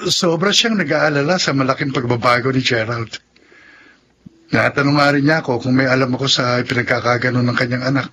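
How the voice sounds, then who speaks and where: An actor playing a respectful, eager young groom-to-be in a radio drama, speaking politely and earnestly. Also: male voice, medium pitch, brisk, sharp tone, slight background noise, natural voice. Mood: warm, concerned. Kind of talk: Tagalog radio drama acting.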